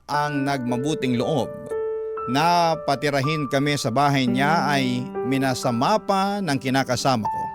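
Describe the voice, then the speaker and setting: A man's voice: medium pitch, a little slow. A man reading a first-person love-life story in an earnest, confiding way, like a classic radio program. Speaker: narrator. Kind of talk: dramatic first-person letter narration. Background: loud music bed.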